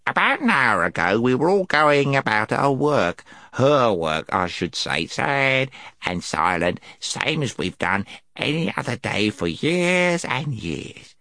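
A man's voice: medium pitch, sing-song, slow, pushed and bright, no echo, studio quality, voice pushed up, exaggerated voice. A man voicing a small, timid, servile gnome in a storybook, with a squealing, whimpering, chattering delivery. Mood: eager storytelling. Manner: servile, rambling, wide-eyed.

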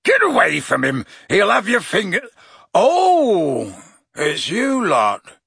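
A man voicing a huge, gruff groundskeeper from a storybook, indignant and blustering, roaring and growling. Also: mid-range voice, very animated, slow, sharp tone, no room sound, clean studio sound, heavily acted. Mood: alarmed, gruff. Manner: roaring and growling, sarcastic when cross.

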